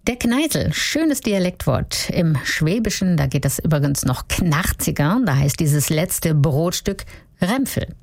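A woman presenting a radio segment in a lively, chatty way. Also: sits low, a little slow, dry recording. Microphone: studio mic.

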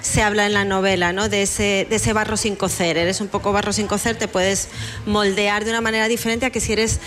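A woman's voice: mid-range voice, flat delivery, fairly quick, a bit bright, soft background music. A woman speaking as a guest novelist in an interview, answering conversationally and repeating words for emphasis. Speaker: guest novelist discussing their book.

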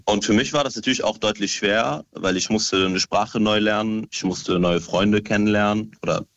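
Young man from Syria calling in, low voice, dull phone sound. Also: moderate pace, vocal fry, no room sound. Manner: relaxed, with hesitant fillers. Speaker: caller. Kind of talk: casual phone call to a radio show.

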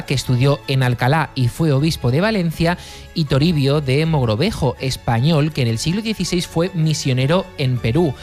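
A man files a news report at a mid pitch, steady and informative. Faint music sits under the voice.

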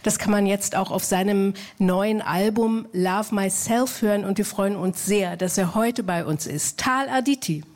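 A woman hosting a radio interview, introducing a guest and asking questions with frequent pauses and fillers. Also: medium pitch, a little hiss or hum. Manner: warm, hesitant, many fillers. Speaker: radio host.

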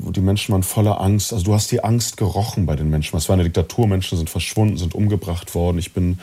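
A man from East Germany telling personal stories as an interview guest, reflective and halting, often repeating words. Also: low-pitched, mellow, slightly rough. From born in East Germany, partly raised in Chile. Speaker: interview guest, actor and coach. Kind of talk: personal storytelling in conversation.

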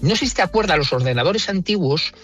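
A man's voice: high voice, lively intonation, fast talker, a bit bright, soft background music. A man with a central Spanish accent, explaining things conversationally with emphatic opinions and everyday examples, as an engineer being interviewed. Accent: central Spain Spanish. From Madrid, Spain. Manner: emphatic, opinionated, rhetorical questions.

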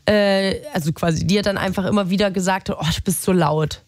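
A woman hosting a casual call, upbeat and encouraging, with relaxed colloquial speech. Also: medium pitch, a little fast. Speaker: host.

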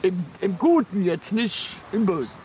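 A man's voice: sits high, very animated, measured pace, warm tone, slight background noise. A man chatting casually and plainly at an outdoor garden meal. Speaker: interviewee. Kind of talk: relaxed chat at a barbecue.

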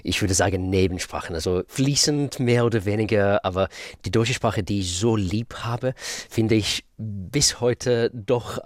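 A man from Russia speaks, answering interview questions in a low voice. He is thoughtful, pauses to search for words and laughs easily. The sound is dry and close, as in a radio studio. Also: no room sound. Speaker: guest pianist.

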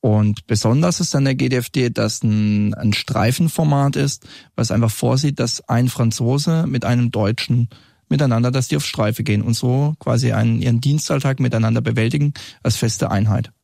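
A man's voice: medium pitch, normal speed, gravelly, dark tone, no room sound, studio quality. A man, a police group leader, giving explanatory answers as a guest in an interview. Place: studio.

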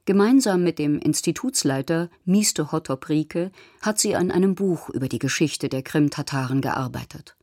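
A woman reading scripted documentary news narration in a measured, matter-of-fact way, recorded as a studio voice-over. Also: low voice, expressive, a little mellow, dry recording.

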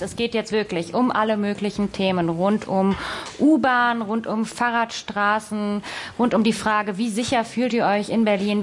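A woman's voice: medium pitch, slight background noise. A woman co-hosting a political event, cheerfully announcing the next block. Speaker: host. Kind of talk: event moderation.

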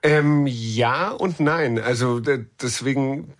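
A man's voice: mid-range voice, slow. A man from Munich talking conversationally as an interviewed film director, repeating words as he searches for phrasing. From Munich, Germany.

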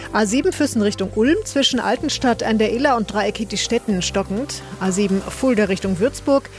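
A woman radio presenter reading traffic reports, weather and headlines in a crisp, even broadcast delivery from a studio. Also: mid-range voice. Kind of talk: read news, traffic and weather bulletin.

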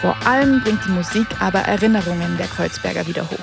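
A woman's voice: on the low side, fast talker, vocal fry. A woman narrating a scripted news feature as a reporter. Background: music.

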